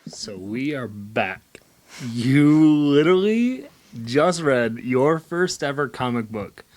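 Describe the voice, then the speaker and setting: A man's voice: mid-range voice, big pitch swings, takes it slowly. A man hosting a video channel, chatting casually and enthusiastically as he interviews his co-host.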